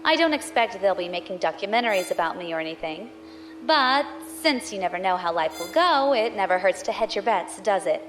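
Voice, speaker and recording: A woman's voice: medium pitch, animated, fairly quick, fairly bright tone, faint music behind, lightly acted, poor audio. A woman playing a cynical space pirate narrating her journal in an audio drama, sardonic and conversational. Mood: wry, resigned.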